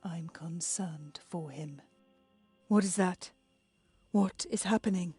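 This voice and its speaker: A woman's voice: lowish voice, slow, mellow, husky, no echo, faint music behind, full character voice. A woman playing a regal, dignified queen who is concerned for her embattled people, in dramatic video game style. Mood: composed, concerned.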